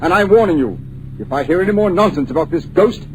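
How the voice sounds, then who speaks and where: Gruff manor lord, blustering, irritable, radio play. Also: male voice, high-pitched, animated, quick pace, a little mellow, a little hiss or hum, poor audio. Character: irritable blustering older male manor owner. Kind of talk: 1940s radio acting.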